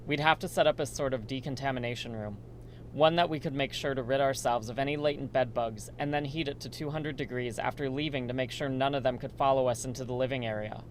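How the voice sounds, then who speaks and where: A man's voice: mid-range voice, steady tone, fast, slight background noise, close to an everyday voice. A man playing a worried, earnest research assistant in a radio drama. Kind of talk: amateur radio drama dialogue.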